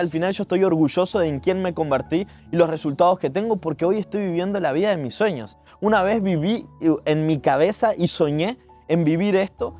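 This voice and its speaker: A young man from Uruguay with a Uruguayan accent speaks in a fairly high-pitched voice. He talks conversationally and earnestly, as in a reflective personal vlog. Soft music plays behind his words. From Montevideo, Uruguay. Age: 20s. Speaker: digital entrepreneur telling their life story. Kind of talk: personal storytelling vlog, spoken to camera.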